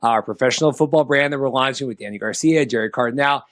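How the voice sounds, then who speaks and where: A man's voice: mid-range voice, fast talker, fairly bright tone, no echo. Man hosting casual sports talk, dry sound. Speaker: sports podcast host.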